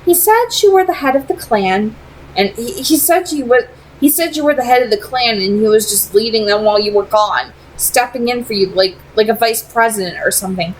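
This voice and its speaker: A woman's voice: on the high side, fairly quick, a little hiss or hum, lightly acted, low-quality recording. A woman voicing a wary, skeptical young male vampire in a read-aloud story, with casual, hesitant phrasing. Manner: casual, hesitant, plain-spoken.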